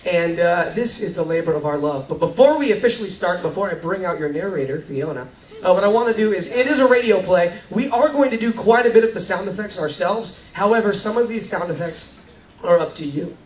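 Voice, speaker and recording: A man's voice: sits high, animated, fairly quick. A man hosting a live amateur show, informally prompting his audience with a hesitant delivery. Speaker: host introducing an amateur show. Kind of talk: informal stage introduction to audience. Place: live show on cruise ship deck. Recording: echoey, distant mic, faint noise.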